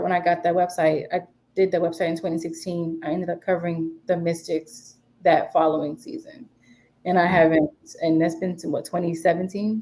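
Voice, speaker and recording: Mellow-voiced woman in her twenties. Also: lowish voice. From DC area. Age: 20s. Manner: relaxed, chatty, many fillers and restarts. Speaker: guest, sports media writer. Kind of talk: casual interview talk.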